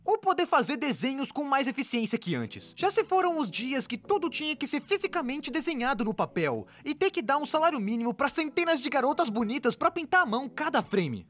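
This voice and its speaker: A woman's voice: high-pitched, big pitch swings, a little fast, few pauses, fairly bright tone, soft background music. A woman with a Brazilian accent reading a scripted comedic explainer, with playful, sarcastic asides. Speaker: animated explainer video narrator. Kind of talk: scripted comedic narration, dubbed.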